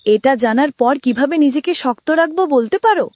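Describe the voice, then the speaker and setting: A woman's voice: high-pitched, animated, brisk, darkish tone, dry recording. A woman playing a grieving, worried mother in a melodramatic cartoon. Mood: despairing.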